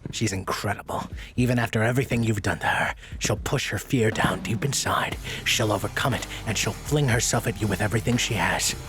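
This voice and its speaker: A man playing a tough, cool and dangerous character in an audio drama, with a measured delivery. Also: lowish voice, a bit bright, close to an everyday voice. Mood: cool taunting. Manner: measured, taunting.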